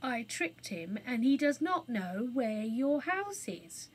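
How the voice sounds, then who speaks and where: A woman voicing a clever male phoenix in a children's storybook, soft-voiced, weary, grand and formally polite. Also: on the high side, sing-song, takes it slowly, husky, heavily acted. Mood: calm, weary.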